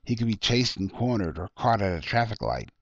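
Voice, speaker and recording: A man with a low voice narrates fiction from a script, as an author reading his own work. The recording is dry and somewhat dull, with no room sound. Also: sing-song, fairly quick, no room sound.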